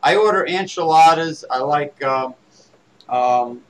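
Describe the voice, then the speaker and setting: A man from the East Coast of the United States, a co-host in casual chat who talks haltingly with many fillers. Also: on the high side, low-quality recording.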